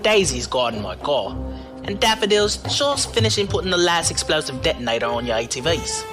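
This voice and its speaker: A roguish driver-for-hire, acted by a man, breezy and unflappable. His pitch swings freely as he teases in folksy slang. Music plays behind him in this audio drama. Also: very animated, brisk. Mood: cocky, taunting. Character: cocky roguish male driver-mercenary, wily and unflappable.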